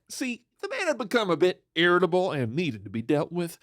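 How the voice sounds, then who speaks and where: A man's voice: high-pitched, wildly swooping pitch, brisk, fairly bright tone, dry recording, clean studio sound, full character voice. A man playing a cocky, sly outlaw in a Western audio drama, with a teasing, theatrical manner. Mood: mock-innocent. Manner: theatrical, teasing, flirtatious swagger. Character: cocky, sly male Western outlaw, smooth-talking schemer.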